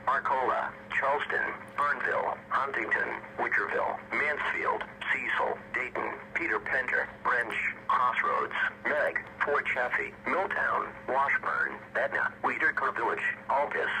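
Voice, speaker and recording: A synthetic male text-to-speech voice reads scripted emergency weather warnings as an automated radio announcer, formal, impersonal and stiffly measured. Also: medium pitch, pushed and bright. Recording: noisy, staticky weather radio broadcast.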